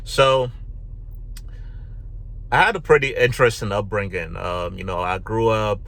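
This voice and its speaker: A middle-aged man from Ghana, telling his life story to camera in a relaxed, rambling way, full of fillers and restarts. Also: lowish voice, measured pace, vocal fry. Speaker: YouTube vlogger. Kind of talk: casual personal storytelling to camera.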